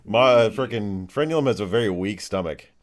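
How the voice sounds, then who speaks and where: Low-voiced man running a tabletop game. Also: normal speed, crowd noise. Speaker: game master. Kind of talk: improvised tabletop game narration.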